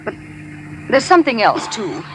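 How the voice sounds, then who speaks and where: A woman's voice: a little high, wildly swooping pitch, moderate pace, a bit bright. An actress playing a guarded, ambitious young woman in a 1940s radio drama, earnest and wry. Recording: noisy 1940s radio broadcast recording.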